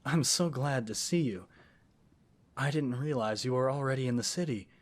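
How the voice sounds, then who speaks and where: A man acts out a scholarly wizard boyfriend from a fantasy audio roleplay. He has a mid-pitched voice and talks in gentle, courtly phrases. His delivery is intimate and affectionate, as if to a beloved companion. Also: normal speed, natural voice. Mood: affectionate, cheerful.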